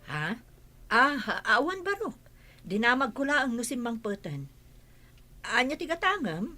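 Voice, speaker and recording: A woman's voice: high voice, big pitch swings, measured pace, sharp tone, full character voice. A woman with an Ilocano accent playing a nosy village storekeeper auntie who questions and chides in a radio drama. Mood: nosy, chiding. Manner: questioning, chiding. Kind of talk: Filipino radio drama acting.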